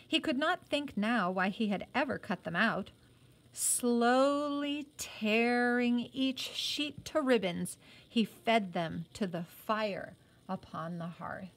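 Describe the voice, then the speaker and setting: A woman's voice: a little high, slow pace. A woman reading a novel aloud as a teacher would, with short explanatory asides.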